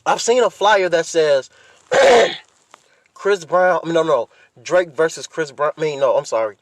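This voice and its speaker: Higher-voiced American man chatting casually. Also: a little slow, no echo. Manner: informal slangy talk with frequent profanity. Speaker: podcast host. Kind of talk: casual solo chat with opinions, addressing listeners.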